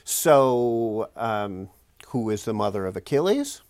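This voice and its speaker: A man's voice: on the low side, lively intonation, slow pace, darkish tone, no room sound. A man speaking as an erudite guest scholar in an interview, explaining things with careful qualifications and self-corrections.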